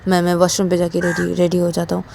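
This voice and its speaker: A woman's voice: fairly low pitch, monotone, fast talker, creaky, a little mellow, slight background noise. Woman acting hesitant, evasive young man. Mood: reluctant, nervous. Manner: hesitant, repeating words.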